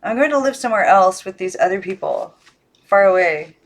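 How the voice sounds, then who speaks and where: A woman voicing a lonely young girl who speaks plainly and gently, in a storybook reading. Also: medium pitch, animated, a little fast, close to an everyday voice. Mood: tender, wistful. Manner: plain, gentle, short answers. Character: Maggie, lonely young girl, tender and matter-of-fact.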